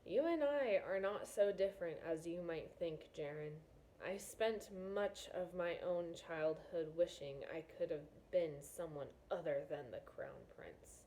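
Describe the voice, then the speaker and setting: A woman reading the part of a reserved middle-aged king and father in a storybook, measured and reflective. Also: lowish voice, warm tone, a little hiss or hum, rough recording. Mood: earnest.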